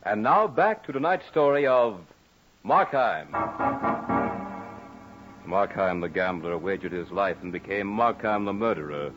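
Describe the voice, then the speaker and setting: A man's voice: fairly low pitch, lively intonation, a little slow, long pauses. A man narrating and announcing a 1940s radio horror drama in a formal, portentous, dramatic style. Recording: muffled old radio recording, faint music.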